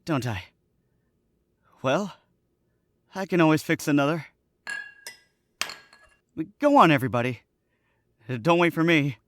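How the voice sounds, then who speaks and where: A man's voice: on the high side, expressive, unhurried, long pauses, dry recording. An actor playing a nervous, gloomy young man in an old-time radio drama, hesitant and evasive.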